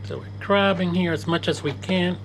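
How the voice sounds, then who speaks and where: A man giving relaxed, casual commentary while flying a flight simulator at his home desk, often correcting himself mid-sentence. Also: high voice, normal speed, gravelly, noisy background. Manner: chatty, self-correcting, relaxed.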